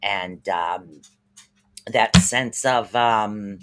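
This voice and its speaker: A man's voice: lowish voice, extremely slow, very noisy. A man with a Boston-sounding East Coast American accent, hosting a casual livestream chat and giving psychic readings in an upbeat, rambling way full of fillers. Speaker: spirit reader and livestream host.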